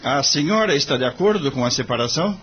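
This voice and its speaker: A man's voice: medium pitch, animated, fast talker, fairly bright tone, breathy edge. A Brazilian man playing a courteous, idealistic young lawyer in an audio drama, formal and earnest. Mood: formal, probing. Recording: muffled lo-fi audio, background noise.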